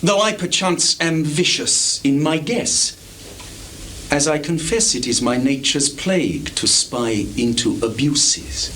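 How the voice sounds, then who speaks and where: A man performs a scheming soldier who pretends to be humble and honest on the Shakespearean stage. He speaks slowly, with insinuating pauses and wide swings of pitch. The old live theatre recording has clear hiss and noise. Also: animated, a bit bright. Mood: feigned reluctance. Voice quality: theatrical, measured projection. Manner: insinuating, deliberate, dramatic pauses. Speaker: stage actor. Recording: noisy, hissy 1980s live theatre recording.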